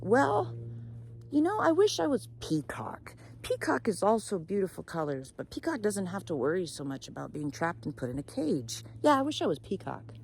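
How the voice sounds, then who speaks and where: A woman's voice: medium pitch, huge pitch swings, normal speed, vocal fry, a little mellow, slight background noise, voice pushed up. A woman voicing an anxious, discontented parrot in a story, speaking in an earnest, fretful way. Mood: wishful.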